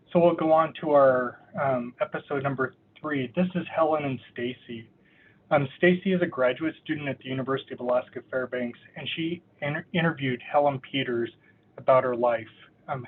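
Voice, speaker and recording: Man hosting over tinny call audio. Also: medium pitch. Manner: friendly, filler-laden introductions. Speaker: event host. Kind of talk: hosting a listening party. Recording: tinny phone-like call audio with faint noise.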